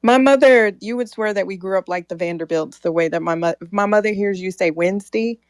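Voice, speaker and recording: A woman's voice: medium pitch, brisk, clean tone, dry recording, clean studio sound. A young adult woman co-hosting a podcast, telling stories in a casual chat and mimicking other people's voices as she goes. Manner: animated storyteller, mimics voices. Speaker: podcast co-host.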